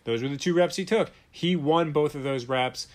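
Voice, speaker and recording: A man's voice: on the high side, normal speed. A man in his late thirties hosting a solo sports podcast, talking conversationally and emphatically, repeating points to stress them. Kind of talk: solo sports podcast commentary with host-read ads.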